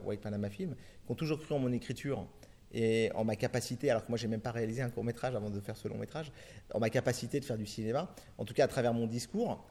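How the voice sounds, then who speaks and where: A man's voice: medium pitch, dark tone. A middle-aged man from France, a film director answering interview questions in long, rambling turns full of restarts and fillers.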